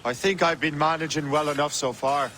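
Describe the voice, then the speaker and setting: A man's voice: fairly high pitch, fairly even intonation, a little fast, bright, forceful tone, a little hiss or hum. Self-assured young male assassin trainee. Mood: wry. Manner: wry, teasing, self-assured. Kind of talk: video game cutscene acting.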